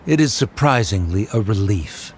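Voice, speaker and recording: An actor playing a haughty, cold scholar in a video game, formal and condescending. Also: male voice, on the low side, animated, normal speed, a little mellow, a little hiss or hum, natural voice. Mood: disdainful. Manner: disdainful, formal.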